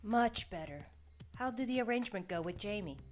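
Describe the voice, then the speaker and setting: A woman's voice: mid-range voice, animated, fairly quick, lightly acted. A woman playing a practical, caring middle-aged investigator in an audio drama, talking in a plain, conversational way. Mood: businesslike. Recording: music behind the voice.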